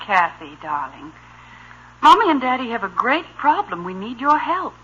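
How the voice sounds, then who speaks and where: Worried but patient 50s radio mom, bright lively voice, muffled old recording. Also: female voice, a little high, moderate pace, slightly rough, heavily acted. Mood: gently firm. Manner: coaxing, gently reasoning. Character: patient, worried, gently firm suburban mother. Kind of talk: 1950s radio sitcom acting.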